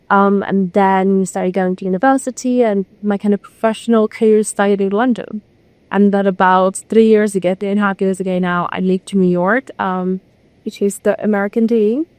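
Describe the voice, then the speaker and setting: A woman's voice: mid-range voice, warm tone, dry recording, studio quality. A woman from Mongolia in her thirties, talking casually as an interview guest in a chatty way full of asides. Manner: chatty, with many fillers and asides. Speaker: guest, AI content manager.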